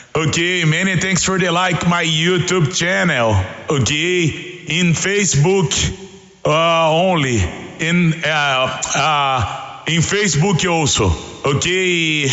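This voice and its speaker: A Brazilian man, a native Portuguese speaker, making ham radio calls in effusive, repetitive, halting English. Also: a little high, bright, forceful tone. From South America. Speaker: amateur radio operator. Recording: echoey, long reverb voice audio.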